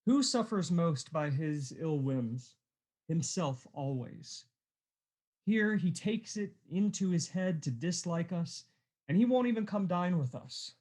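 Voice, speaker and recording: A jovial, kindly nephew in a radio play. The voice sits a little high for a man, earnest and full of good humor. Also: expressive, takes it slowly, a little mellow. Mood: good-natured.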